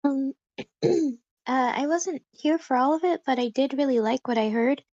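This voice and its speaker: Tentative, creaky-voiced woman, dull dry recording. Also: high voice, normal speed, a little mellow, no room sound. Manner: tentative, self-deprecating hedging. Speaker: table read participant giving feedback. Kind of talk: casual spoken critique.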